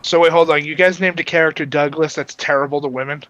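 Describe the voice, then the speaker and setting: Male livestream guest, bright and forward tone, dry sound, teasing, profane casual chat. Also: fairly high pitch, steady tone, quick pace, no room sound, lo-fi sound. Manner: teasing, sweary. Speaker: livestream participant. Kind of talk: casual banter.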